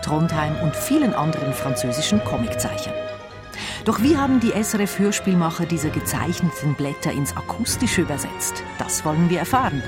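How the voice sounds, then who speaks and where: A man presenting a radio magazine, reading a scripted introduction. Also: a little high. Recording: music behind the voice.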